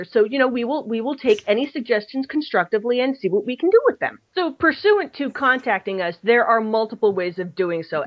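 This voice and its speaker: A woman's voice: mid-range voice, no echo. Casting: young adult woman, rambling podcast co-host, dull lo-fi dry recording. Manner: rambling, self-deprecating, chatty with restarts. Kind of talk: casual chat.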